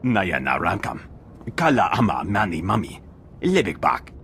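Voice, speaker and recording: Game alien cultist, lowish voice, animated chanting delivery, invented tongue, solemn. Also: male voice, moderate pace, slight background noise, full character voice. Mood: solemn, declamatory. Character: alien cult member descended from slaves, solemn. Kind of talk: video game cutscene acting.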